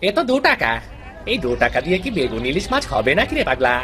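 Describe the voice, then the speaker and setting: A man's voice: medium pitch, wildly swooping pitch, quick pace, a bit bright, some chatter behind, slight background noise. A man playing a brusque village market seller in a cartoon folktale, mocking and bullying. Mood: scornful, threatening. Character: gruff, scornful village market fishmonger, quick to threaten. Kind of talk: exaggerated fairy-tale cartoon acting.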